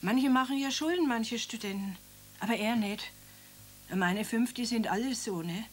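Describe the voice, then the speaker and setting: A woman's voice: fairly high pitch, moderate pace, close to an everyday voice. An actress playing an elderly grieving mother who reads her son's letter and then talks about him in homely, rambling speech. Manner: plain homely speech with tag questions. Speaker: mother of Karl. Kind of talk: radio drama acting.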